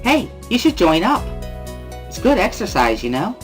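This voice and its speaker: A man voicing a cheerful, energetic teenage girl runner with a high-pitched, enthusiastic voice, in a dramatic reading of a visual novel. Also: normal speed, faint music behind. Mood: friendly. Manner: perky, enthusiastic.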